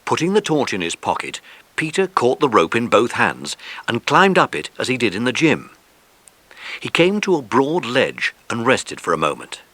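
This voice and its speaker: A man narrating a children's adventure story from a script in an expressive storytelling style. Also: medium pitch, dry recording.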